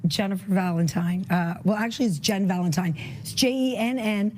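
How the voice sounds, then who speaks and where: A woman with a hoarse voice, co-hosting a morning news show in casual, upbeat banter from a TV studio. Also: medium pitch, fairly quick, darkish tone, a little hiss or hum. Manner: chatty, upbeat. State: hoarse, recovering lost voice.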